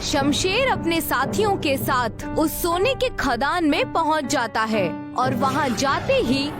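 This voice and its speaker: A woman's voice: sits high, animated, takes it slowly, over music. A woman narrating a scripted story in a storytelling manner.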